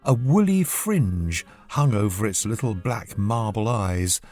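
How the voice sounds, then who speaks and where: A storyteller giving a measured, expressive scripted narration of a children's bedtime story. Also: medium pitch, soft background music.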